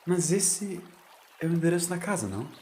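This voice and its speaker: A man's voice: high voice, animated, a little fast, dark tone, slight background noise, voice pushed up. Man doing a curious, sceptical young Brazilian woman. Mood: puzzled.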